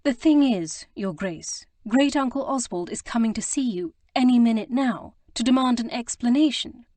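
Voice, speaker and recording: A woman voicing a flustered, earnest young gentlewoman who stammers and rushes to explain herself. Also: a little high, expressive, no room sound, voice pushed up, natural voice. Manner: breathless, stammering, eager to explain. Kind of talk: audiobook character reading.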